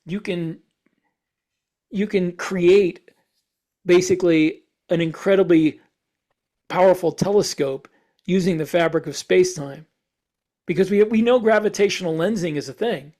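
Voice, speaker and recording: A man's voice: high-pitched, unhurried, long pauses, warm tone. A man talking casually as a podcast host, explaining ideas and thinking aloud as he goes. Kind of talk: casual discussion.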